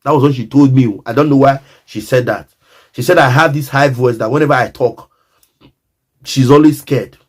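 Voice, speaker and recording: A man's voice: mid-range voice, normal speed. A Nigerian man telling a personal story in a casual monologue, emphatic and repetitive, often speaking straight to the listener.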